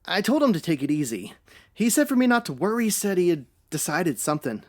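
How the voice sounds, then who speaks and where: A plain-talking man acted out as a witness in a 1950s radio detective story. He is folksy, slangy and a little hesitant, with lively rises and falls in pitch. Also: fairly quick. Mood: confiding. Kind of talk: old-time radio detective parody.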